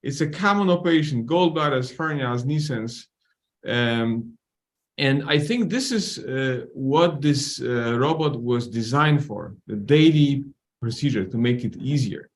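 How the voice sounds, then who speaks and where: A man's voice: medium pitch, expressive, measured pace. Hesitant man, Polish native speaker. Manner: hesitant, many fillers and restarts, courteous. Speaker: surgeon and webinar panelist. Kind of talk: webinar discussion.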